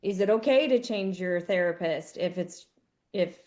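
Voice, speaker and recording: A woman co-hosting a podcast, chatting casually and thinking out loud, often restarting her sentences and acting out little quoted asides. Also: fairly low pitch, a little slow. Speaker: podcast co-host.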